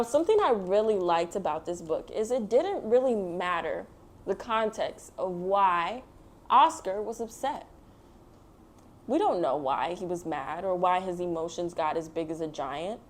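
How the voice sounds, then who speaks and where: A woman hosts a picture book read-aloud for children. Her voice sits at a middle pitch for a woman. She explains things in simple, encouraging words, speaking straight to young listeners.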